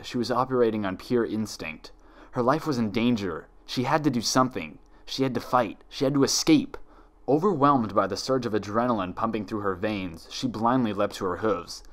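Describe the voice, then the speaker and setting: A man reading dark fan fiction aloud as dramatic, scripted horror narration. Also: lowish voice.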